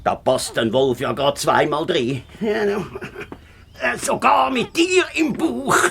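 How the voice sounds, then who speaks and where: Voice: mid-range voice, slow, creaky, a bit bright. An actor playing a greedy, gloating big bad wolf in a children's radio play, grumbling to himself. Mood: pleased with himself.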